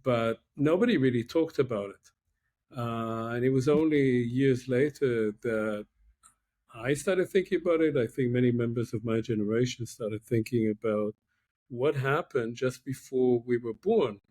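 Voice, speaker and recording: A man in his sixties from Israel, a guest professor giving reflective, measured interview answers with many pauses over a laptop video call from a room at home. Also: medium pitch, a little mellow. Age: 60s.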